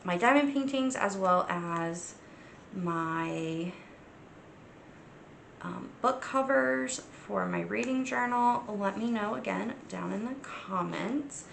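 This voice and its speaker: Slow, gravelly-voiced woman with a cold. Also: medium pitch, echoey room, slight background noise. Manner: unhurried, many ums and restarts. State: congested from a cold, sniffling. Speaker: crafting channel host. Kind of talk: casual show-and-tell vlog.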